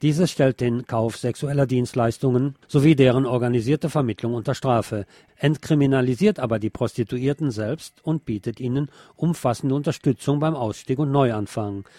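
Male news reader with a dry, dark-toned voice. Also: medium pitch, normal speed, no echo. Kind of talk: scripted news narration.

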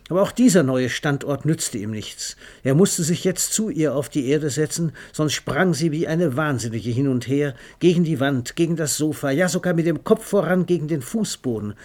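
Ein Mann erzählt in einem Hörspiel eine literarische Geschichte, ausdrucksvoll, genau und mit leiser Ironie. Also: male voice, a little high, expressive, normal speed, few pauses, darkish tone, natural voice. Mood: wry, vivid. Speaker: narrator. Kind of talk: scripted literary narration for a radio play.

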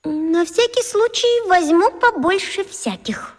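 A young schoolgirl for a radio play. The voice is extremely high and small, with slow, halting delivery. She comes across as earnest and a little hesitant. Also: lively intonation, heavily acted. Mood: thoughtful.

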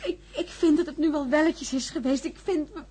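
A woman's voice: high voice, a little fast, dark tone, lightly acted. An actress playing an anxious, outspoken woman in a science fiction radio drama, with urgent delivery. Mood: alarmed, defiant. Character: anxious, outspoken woman in a doom-facing team.

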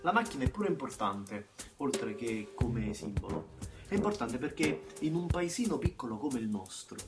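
A man's voice: mid-range voice, very animated, normal speed, far from the mic, natural voice. An actor playing a bitter, plain-spoken young man from a small Camorra town, in a colloquial theatrical monologue with a Campanian accent and emphatic repetitions. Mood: matter-of-fact, bitter. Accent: southern Italian, Campanian. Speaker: stage actor. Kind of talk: theatrical monologue, first-person narration. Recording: music behind the voice. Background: plucked guitar music.

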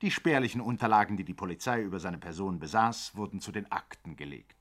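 An actor playing a wry, theatrical storyteller narrating a 1960s German crime comedy on radio. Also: male voice, low-pitched, fast talker, close to an everyday voice. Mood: mock solemn.

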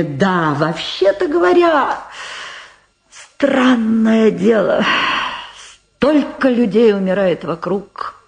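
A woman's voice: medium pitch, darkish tone. A nervous, wheedling middle-aged woman from a radio play. She rambles and stammers, her intonation swinging widely as she talks. The voice sits in a roomy, echoing recording. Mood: plaintive, indignant. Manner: hesitant, rambling, stammering under pressure. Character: nervous, talkative woman witness, evasive and wheedling. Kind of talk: radio play dramatic acting.

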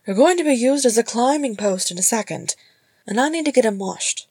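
Woman as a high-pitched, sharp-tongued dwarf sister. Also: expressive, fairly quick, breathy edge, no room sound. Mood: brisk. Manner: dry, teasing, bossy. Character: sharp-tongued female dwarf, teasing young mother and sister.

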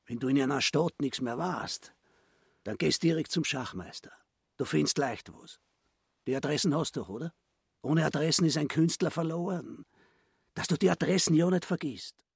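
An actor playing a familiar, advice-giving underworld forger in a radio play, with a husky, gravelly voice and an Austrian accent. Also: male voice, a little high, normal speed, dry recording, clean studio sound. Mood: chummy.

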